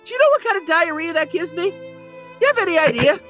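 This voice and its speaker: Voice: extremely high pitch, big pitch swings, a little fast, bright, forceful tone, soft background music, heavily acted. A performer playing an aggrieved male landlord in a comedic audio play, rambling awkwardly in a whiny, exasperated way.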